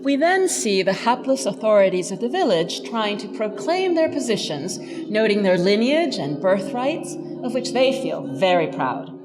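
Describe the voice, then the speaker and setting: Female professor, scripted scholarly talk, expressive mid-range voice, reverberant space. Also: mid-range voice, a little slow, music in the background. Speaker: lecturer, professor. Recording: reverberant hall.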